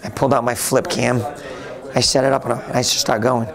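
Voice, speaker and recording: A raspy, gravelly-voiced man chats loosely in an interview, doubling back and restarting his sentences as he goes. He sounds a bit hoarse from a long day of talking. Busy crowd chatter hums behind him on a convention floor. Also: mid-range voice, little pitch movement, quick pace. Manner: rambling with frequent restarts. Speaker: interviewee, tech blogger. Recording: noisy crowded convention hall.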